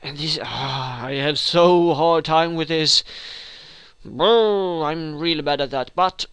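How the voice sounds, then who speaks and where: A man's voice: fairly high pitch, slow pace, a bit bright, clear, resonant tone, dry recording. A young man from Sweden with a Swedish accent, hosting a rambling game tutorial with many hesitations and restarts. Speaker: gaming tutorial host.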